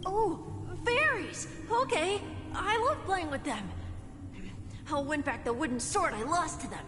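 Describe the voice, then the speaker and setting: Earnest, high-pitched little village boy. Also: expressive, measured pace. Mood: excited. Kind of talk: video game voice acting. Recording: long reverb, game music behind.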